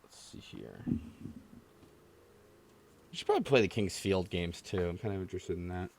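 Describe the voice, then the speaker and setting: A man's voice: low voice, moderate pace, vocal fry, a little mellow, a little hiss or hum. A man hosting a call-in podcast, chatting casually with a jokey, ribbing manner. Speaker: podcast host taking callers. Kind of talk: casual chat.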